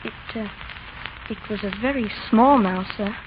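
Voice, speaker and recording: Woman playing nervous high-voiced schoolboy, noisy radio. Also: natural voice. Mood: nervous, polite. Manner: halting, deferential. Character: polite, nervous schoolboy owning up to a prank. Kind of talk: 1930s radio drama acting. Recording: dull, noisy 1930s radio recording.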